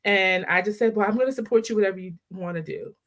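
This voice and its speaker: Woman, 30s, Baltimore-raised guest; animated, laughing storyteller, laptop call audio. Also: medium pitch, quick pace. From Baltimore area, Maryland. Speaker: guest, maternal health director. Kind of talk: casual interview chat. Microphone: video call on a laptop.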